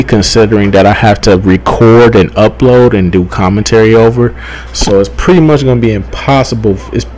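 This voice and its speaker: A young man giving casual, rambling gaming commentary, halting, repeating himself and swearing freely. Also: fairly low pitch, a little mellow, low-quality recording. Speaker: gaming YouTuber.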